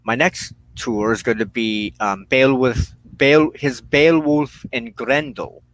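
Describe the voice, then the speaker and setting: Guy doing a reflective solo show, really slow and halting, earnest, mid pitched voice. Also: fairly even intonation, fairly bright tone, poor audio. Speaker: host of a weekly spiritual broadcast. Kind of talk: reflective unscripted monologue.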